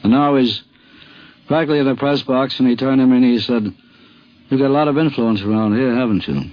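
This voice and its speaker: A man's voice: medium pitch, brisk, no echo. A man with an American accent, a sports columnist telling an anecdote from memory. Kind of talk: anecdotal reminiscence. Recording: muffled vintage radio recording.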